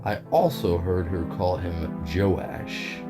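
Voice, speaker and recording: A man's voice: low voice, over music. An actor playing a sly courtier in an audio drama, deferential and full of hints as he reports to his queen. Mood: insinuating. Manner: deferential, hinting, deliberate. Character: sly, insinuating male courtier informant to a queen. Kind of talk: scripted audio drama acting.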